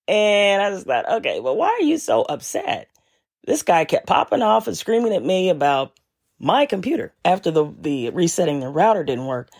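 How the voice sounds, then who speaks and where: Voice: medium pitch, very animated, moderate pace. A podcast host telling a story in a casual monologue, laid-back and wry with frequent chuckles.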